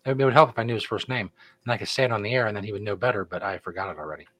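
A man's voice: lowish voice, rapid-fire, fairly bright tone, no room sound. Laid-back man co-hosting a chat show. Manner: laid-back, joking, rambling. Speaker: podcast co-host. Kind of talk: casual chat.

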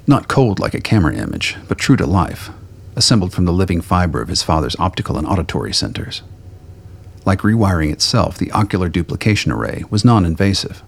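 A man's voice: low voice, creaky, slightly rough. A man narrating a science fiction radio play in a scripted, dramatic storytelling style.

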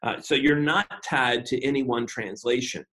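Male pastor, interview guest, mid-range voice, methodical and unhurried, pauses with ums, thinks out loud. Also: mid-range voice. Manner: measured, methodical, frequent "uh" and "um" pauses; self-deprecating humor. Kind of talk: unscripted interview explanation.

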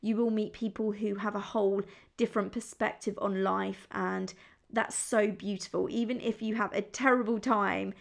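Young woman, podcast host, chatty solo talk, gravelly fry, middle pitch. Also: fairly even intonation, moderate pace. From England. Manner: chatty and upbeat, confiding.

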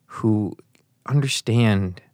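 A man's voice: on the low side, extremely slow, darkish tone, no echo, studio quality. A man hosting a casual interview, asking curious, probing questions and repeating phrases for emphasis. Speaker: podcast host. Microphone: studio mic with headphones.

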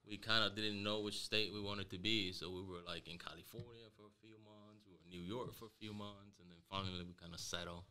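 Man in his 40s, from venezuela, podcast guest, easygoing, hesitant fillers, very deep, sharp crisp tone. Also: steady tone, fairly quick, hardly any pauses. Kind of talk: casual interview chat.

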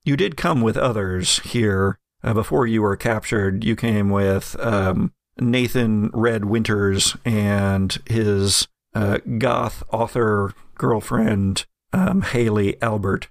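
A man narrating as game master of a tabletop game in a relaxed, rambling way. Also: on the low side, slow, few pauses, no echo, clean studio sound. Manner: relaxed, rambling, with many fillers.

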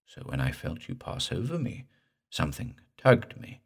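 A man voicing a guttural, slow-drawling drowned corpse. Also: rumbling low, sing-song, creaky, full character voice. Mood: eerie, brooding. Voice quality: guttural, gurgling. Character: undead drowned man, slow, creepy, implacably vengeful.

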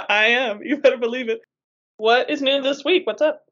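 A woman's voice: sits high, moderate pace, fairly bright tone. A woman co-hosting a podcast in casual chat. She is playful and slangy, and laughs easily between lines. The audio is a little dull and lo-fi. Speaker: podcast co-host.

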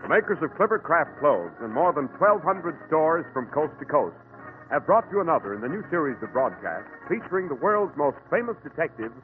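A man's voice: fairly high pitch, sing-song, fairly quick. A man announcing a radio program in a formal, polished 1940s style. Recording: tinny old radio broadcast with loud organ music.

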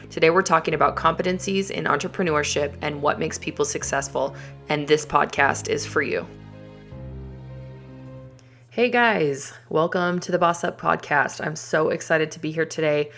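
A woman hosting her own podcast, talking casually and upbeat with fillers and quick asides. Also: sits low, fairly bright tone. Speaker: podcast host. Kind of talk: casual solo podcast talk.

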